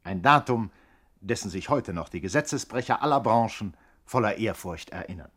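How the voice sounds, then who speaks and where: A man with a fairly low voice narrates in vintage German radio style. His delivery is mock-solemn and grandiose, with rhetorical build-ups. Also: expressive, normal speed, a bit bright, husky.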